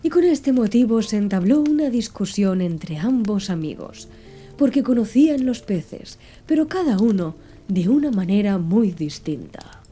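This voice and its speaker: A woman narrates literary prose in ASMR style. Her tone is very mellow and relaxed, yet the intonation is lively and varied. Faint music plays behind her. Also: medium pitch, a little slow, breathy edge. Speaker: audiobook narrator. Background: soft music.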